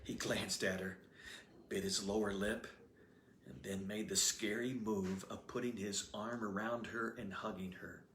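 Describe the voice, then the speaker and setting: A man reading his own novel aloud as storybook narrator, expressive and lively. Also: lowish voice, unhurried, slight background noise. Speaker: author reading his book. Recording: roomy echoey room.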